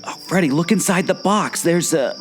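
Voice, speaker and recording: A man playing an eager, observant adventurer sidekick in a scripted audio adventure drama, with excitable, exclamatory delivery. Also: on the high side, moderate pace, soft background music, lightly acted. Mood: excited curiosity. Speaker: podcast host acting in radio play.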